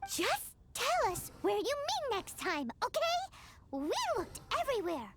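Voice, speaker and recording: A woman's voice: very high voice, huge pitch swings, unhurried, exaggerated voice. An actress voicing a tiny fairy girl companion who speaks of herself in the third person. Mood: curious.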